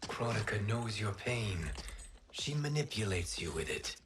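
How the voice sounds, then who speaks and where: An actor playing a calm, wise wind god who speaks in a measured, formal way, in a video game cutscene. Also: male voice, fairly low pitch. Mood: earnest.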